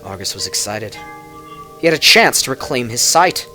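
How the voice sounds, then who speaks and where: A man reading a dark tale as a dramatic narrator, with theatrical, deliberate emphasis and music behind him. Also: mid-range voice, very animated. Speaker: narrator reading lore books.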